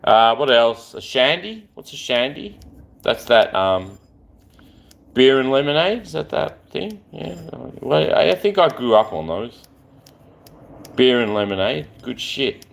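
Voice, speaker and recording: A man in his late forties chats on a live stream in a loose, rambling way. He is openly affectionate with the people he talks to. His voice sits at a middle pitch but moves up and down a great deal. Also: big pitch swings, unhurried, vocal fry. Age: 40s.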